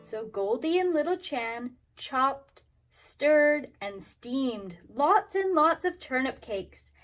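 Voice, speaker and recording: High-voiced woman teaching young children. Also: slow, faint music behind. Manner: expressive, simple instructive phrasing for young children. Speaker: teacher reading a story to kindergarteners. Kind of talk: storybook read-aloud and craft instructions for children.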